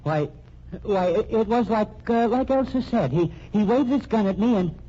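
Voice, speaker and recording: An actor playing a timid, flustered husband suspected of murder, in a hesitant, stammering, fussy way, in an old-time radio mystery. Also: male voice, high-pitched, animated, normal speed, warm tone. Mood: nervous, pleading. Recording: dull, noisy old radio recording.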